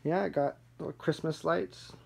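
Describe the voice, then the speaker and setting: A man's voice: on the high side, fairly even intonation, normal speed, gravelly, background noise. A young man giving a casual, understated home tour as a gaming vlogger, recorded indoors on a handheld camera. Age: young adult. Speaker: gaming YouTuber. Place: small furnished basement rooms indoors. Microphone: handheld camera's built-in mic.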